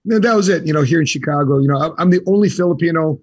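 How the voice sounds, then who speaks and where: A man's voice: a little high, racing, breathy edge, dry recording, highs cut off. A man being interviewed on a podcast, telling his story steadily in short punchy phrases. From United States. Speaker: guest. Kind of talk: casual interview.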